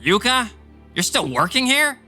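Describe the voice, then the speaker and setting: A man's voice: very high voice, very animated, measured pace, sharp tone, soft background music, exaggerated voice. A man voicing an arrogant, callous, easily irritated husband in a manga dub, dismissive and self-important. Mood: alarmed. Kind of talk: dramatized manga dub dialogue.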